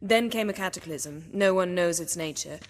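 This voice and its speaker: An actress playing a haughty, learned sorceress in a video game, sharp-tongued and lecturing. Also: female voice, on the low side, moderate pace, big-room sound, natural voice. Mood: knowing, lecturing.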